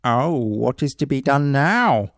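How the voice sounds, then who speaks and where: A man's voice: expressive, takes it slowly, dry recording, clean studio sound. A man voicing a crafty, hungry poor woodman, sly and wheedling, in a storybook reading. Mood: excited. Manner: sly, wheedling, rising pitch. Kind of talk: storybook read-aloud.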